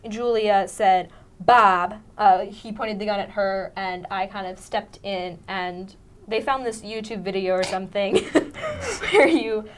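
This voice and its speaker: A woman's voice: mid-range voice, animated, slow. A teenage female student actor chatting casually in an interview, informal and a bit giggly. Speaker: student actress being interviewed. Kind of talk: casual chat.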